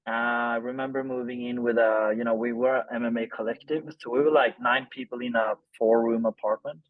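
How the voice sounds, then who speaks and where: A man's voice: mid-range voice, fairly even intonation, brisk, dry recording, phone-quality sound. Man in his thirties, dry sound. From Sweden. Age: 30s. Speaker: interview guest, film director. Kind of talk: casual personal storytelling in an interview.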